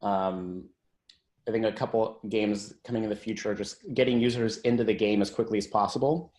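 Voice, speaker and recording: A man's voice: lowish voice, steady tone, fairly quick, darkish tone. Man presenting on an echoey call. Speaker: tech company executive presenting. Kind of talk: informal conference-call presentation. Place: conference call.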